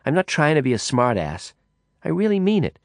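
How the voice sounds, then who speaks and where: A man's voice: a little high, sing-song, brisk, creaky, dry recording, clean studio sound, pitched above the performer's own voice. A man voicing a brash, hard-drinking woman in an audiobook, blunt, profane and sneering. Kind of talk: audiobook character voice.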